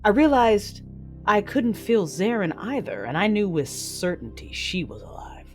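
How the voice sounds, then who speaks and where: A woman's voice: medium pitch, very animated, a little slow, darkish tone. Casting: cold female Sith lord, vengeful and brooding, mid-range voice, first-person audio drama with music behind. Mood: uneasy, searching.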